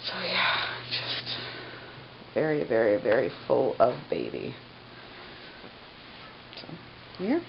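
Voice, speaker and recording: A woman's voice: extremely low pitch, huge pitch swings, slow, vocal fry, pauses often. A woman in her thirties, stuffy, scratchy-voiced and short of breath, chatting to camera in a rambling way with many fillers, filmed in a bedroom. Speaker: pregnancy vlogger. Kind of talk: casual chat to camera. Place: bedroom, indoors. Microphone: camera on a tripod at medium distance. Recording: slightly dull home video with faint hiss.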